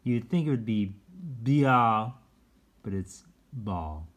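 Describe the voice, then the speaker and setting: Slow-paced, casual picture-book storyteller. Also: medium pitch, expressive. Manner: informal, chatty, explaining words simply to children. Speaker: storyteller reading a children's picture book aloud. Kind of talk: casual read-aloud with asides to young listeners.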